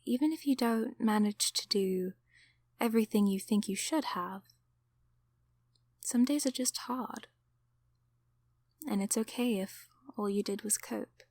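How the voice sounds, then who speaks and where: A woman playing a gentle, apologetic sleep paralysis demon in an ASMR roleplay, soft-spoken and often whispering reassurance. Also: mid-range voice, measured pace, pauses often, no room sound. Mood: warm, encouraging. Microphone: close microphone.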